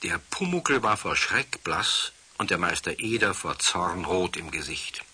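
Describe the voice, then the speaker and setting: A man's voice: low-pitched, animated, measured pace, pushed and bright, slightly rough. A man narrating a scripted children's story in a wry storytelling manner.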